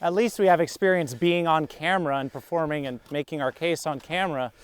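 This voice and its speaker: A comedian co-hosting a podcast, chatting with wry jokes while walking an outdoor mountain trail. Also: male voice, fairly high pitch, brisk, clear, resonant tone, dry recording. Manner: wry, joking. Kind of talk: casual chat on a hike.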